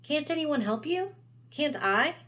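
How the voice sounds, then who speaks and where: Woman doing an earnest wizard schoolboy from a storybook, highish voice, puzzled, insistent, full of questions. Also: animated, unhurried, a bit bright. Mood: curious. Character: earnest schoolboy wizard, curious, bewildered, urgent.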